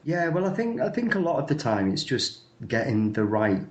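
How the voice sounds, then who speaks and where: A man's voice: mid-range voice, sing-song, moderate pace, echoey room. A man in his thirties chatting casually as an interviewed actor, hesitant and self-effacing, often repeating his words. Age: 30s.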